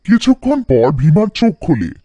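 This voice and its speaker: A man's voice: high-pitched, sing-song, a little fast, dark tone, dry recording, highs cut off. A man narrating a scripted cartoon folktale for children.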